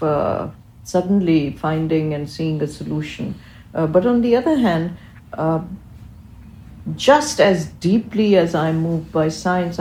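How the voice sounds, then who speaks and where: A woman whose native language is Urdu speaks as an expert guest, slowly and eloquently, choosing her phrases with care. Her voice is on the low side, and the roomy recording carries some noise behind her. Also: warm tone. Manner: eloquent, reflective, deliberate phrasing. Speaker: interview guest, physician and researcher. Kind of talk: thoughtful interview answers.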